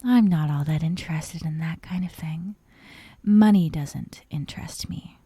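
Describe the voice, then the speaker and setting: A woman voicing an obsessive, sadistic young yandere captor in a soft, breathy ASMR roleplay, half-whispering with sweet menace. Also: sits low, no room sound, heavily acted. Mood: playfully sadistic. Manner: slow, breathy half-whispers broken by giggles. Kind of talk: ASMR roleplay, intimate dramatic monologue.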